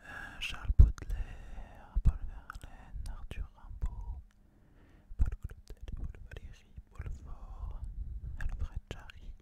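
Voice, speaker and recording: Young man in a slow, breathy whisper. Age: young adult. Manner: soothing, deliberate, repeats phrases softly. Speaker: ASMR video host. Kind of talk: ASMR, whispered talk to viewers. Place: small student apartment, indoors.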